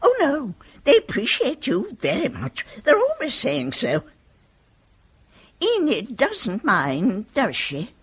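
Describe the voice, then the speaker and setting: Girlish old british lady in a radio play, slow husky voice, genteel and gushy, bit lo-fi. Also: sits high, wildly swooping pitch, heavily acted. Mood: wistful, confiding. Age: 80s. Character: frail, genteel, giddy eighty-five-year-old widowed lady. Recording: dull lo-fi radio recording.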